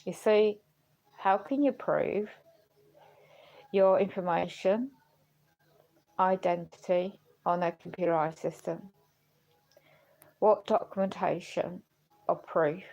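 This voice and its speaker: A woman livestreaming in a rambling, halting way, earnest and questioning, her voice going as if she is unwell. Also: lowish voice, gravelly, warm tone, poor audio. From United Kingdom. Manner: halting, searching for words. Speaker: live streamer. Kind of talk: rambling personal livestream talk.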